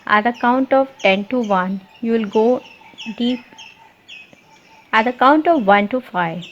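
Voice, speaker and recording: A woman leading a guided meditation, giving repetitive, soothing instructions with deliberate pauses. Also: fairly high pitch. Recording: muffled lo-fi, noisy, birdsong behind. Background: birdsong and ambient nature sounds.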